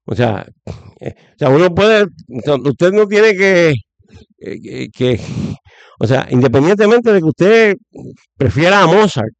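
A man's voice: a little high, sing-song, slow pace, no room sound, highs cut off. A middle-aged man with a Puerto Rican accent giving opinionated political commentary in conversation, stressing points by repeating phrases. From Puerto Rico.

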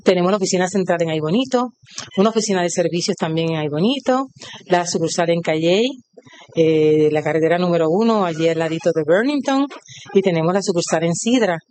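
An older woman with a Puerto Rican accent, a retired cooperative worker being interviewed, speaking proudly and devoutly and listing things in a steady rhythm. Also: fairly low pitch, brisk, slight background noise. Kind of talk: on-site interview.

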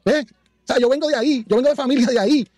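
Confrontational man with a notably high voice. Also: fast, a little mellow, no room sound, clean studio sound. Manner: accusatory and confrontational. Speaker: commentator in a played clip.

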